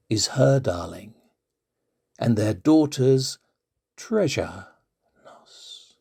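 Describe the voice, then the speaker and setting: An Englishman recites verse like a storyteller. He is extremely slow and deliberate, leaving weighted pauses between phrases. His voice is mellow, with a gravelly creak. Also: mid-range voice. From London, England. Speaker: poet and actor. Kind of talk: dramatic poetry recitation.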